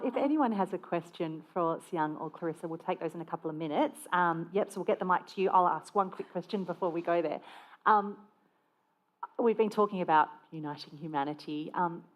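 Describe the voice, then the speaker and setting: A woman moderates a festival panel in a brisk, encouraging way. Her voice is somewhat low, and she keeps things moving while laughing along with her guests.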